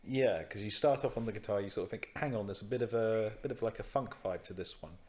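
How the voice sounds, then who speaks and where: Chatty low-voiced man. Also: fast, a little mellow. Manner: chatty, self-deprecating, laughs often. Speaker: podcast co-host, musician. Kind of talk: casual chat.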